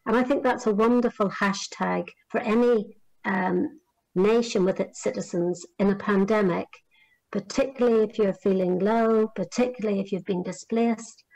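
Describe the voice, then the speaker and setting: A woman's voice: medium pitch, steady tone, a little mellow, low-quality recording. Woman from Northern Ireland giving a talk. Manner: measured, with frequent fillers and restarts. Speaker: conference speaker on career guidance. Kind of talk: remote conference address.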